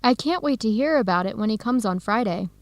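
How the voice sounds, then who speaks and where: An enthusiastic young American researcher in a radio drama. Her voice is high and very lively, warm and friendly in manner, recorded dry and close. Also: fairly quick, dry recording. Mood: friendly, curious. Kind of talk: educational radio drama acting.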